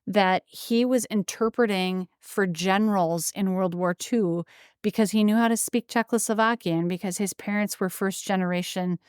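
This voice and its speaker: A woman from Wisconsin, co-hosting a podcast, telling a family story in a chatty, animated way. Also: medium pitch, normal speed, smooth, dry recording, studio quality. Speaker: podcast co-host, antique shop owner. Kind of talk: casual storytelling chat.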